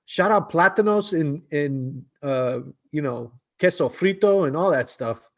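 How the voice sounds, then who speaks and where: A man with a slightly high voice talks sports on a casual podcast. He is playful and upbeat, with a jokey, enthusiastic way of going on. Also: animated, measured pace. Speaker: podcast co-host.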